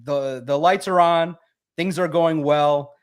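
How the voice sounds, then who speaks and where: A man's voice: fairly high pitch, steady tone, takes it slowly, smooth. A man who talks in a somewhat raised register. He co-hosts a sports podcast, driving each point home through repetition as he talks up his own work. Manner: emphatic, self-promoting, repeats himself for emphasis. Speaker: sports writer and podcast co-host. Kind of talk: animated podcast talk.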